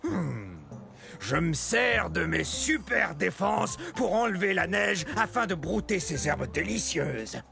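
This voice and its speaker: A big, bumbling, friendly woolly mammoth in an educational cartoon for children. The voice is very gravelly yet pitched high, and it swoops in lively fashion as it chats to young viewers. Music plays underneath. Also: male voice, slow pace, heavily acted. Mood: chatty, amused. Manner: exclaiming, talking to young viewers.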